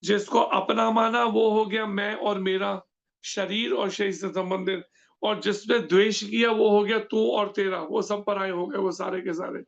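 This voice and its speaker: A man giving a devotional scripture lecture who explains slowly and keeps quizzing his listeners with questions. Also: high voice, steady tone, creaky, lo-fi sound. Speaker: scripture class teacher.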